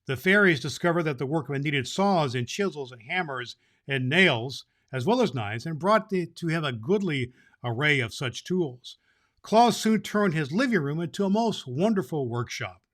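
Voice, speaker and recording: Man playing jolly, higher-pitched Santa Claus storyteller. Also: normal speed. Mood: storytelling. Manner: jolly, animated, booming ho-ho laughs. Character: Santa Claus, jolly old man hosting children's stories. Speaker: storyteller and show host. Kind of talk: storybook reading and address to children.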